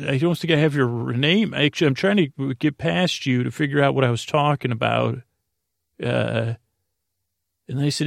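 A man hosting a bedtime podcast, rambling through a halting, mumbling monologue with a droning, creaky voice. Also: mid-range voice, no room sound, clean studio sound. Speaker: podcast host.

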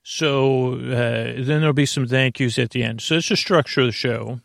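A man hosting a bedtime podcast, rambling through an intro in a creaky voice with a meandering, halting, muttering delivery. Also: medium pitch, dry recording, clean studio sound. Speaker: podcast host.

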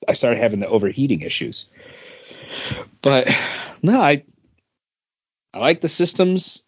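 A man in his thirties chatting casually as a podcast co-host, rambling through his story with plenty of "like" and "uh". His voice sits at a middle pitch, and the recording sounds a bit dull and lo-fi. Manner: rambling, filler-heavy storytelling. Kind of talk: casual chat.

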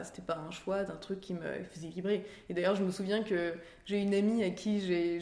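A woman, telling her story in a chatty, informal, conversational way with self-deprecating asides. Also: mid-range voice. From France. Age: 30s. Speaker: interview guest, career-change coach and author. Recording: roomy, echoing sound.

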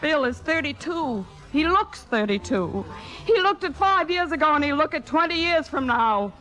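A forty-year-old female theater star in an old radio drama. Her voice sits fairly high and drips with biting, jealous sarcasm. Music sits in the 1950s broadcast recording. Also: expressive, moderate pace, a bit bright, low-quality recording. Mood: brittle, bitter.

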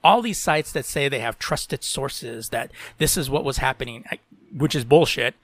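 A man's voice: medium pitch, normal speed, a bit bright, dry recording. A podcast host from Latin America, a man who reads tech and entertainment news aloud and breaks off into opinionated commentary peppered with "you know".

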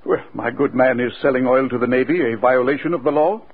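An actor playing a formal, courteous, evasive senator in 1940s radio drama style. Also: male voice, medium pitch, quick pace, slightly rough, close to an everyday voice. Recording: tinny, faintly noisy old radio recording.

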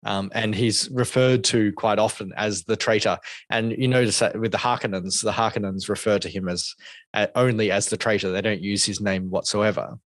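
Low-voiced man musing over a film. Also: fairly even intonation, moderate pace, no room sound. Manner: thoughtful, hesitant, many restarts. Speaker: podcast co-host. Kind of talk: casual film review discussion.

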